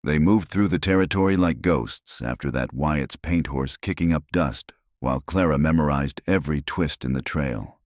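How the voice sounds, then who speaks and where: A man narrates a Western audio drama in a measured, unhurried storytelling manner. His voice sits extremely low, and the recording is dry and close, with no room around it. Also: darkish tone, dry recording.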